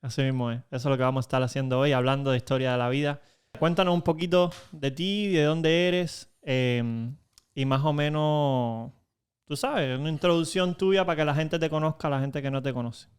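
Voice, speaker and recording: A man hosting a casual podcast, chatty and quick to laugh, introducing his guest. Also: on the high side, steady tone, clean tone. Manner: chatty, laughs easily, many fillers. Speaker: podcast host.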